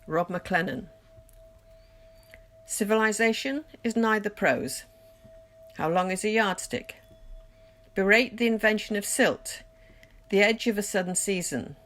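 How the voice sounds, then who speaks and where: A woman reading poetry aloud in a measured, deliberate literary recitation, pausing between phrases. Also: medium pitch, a bit bright. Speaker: poetry reader. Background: music.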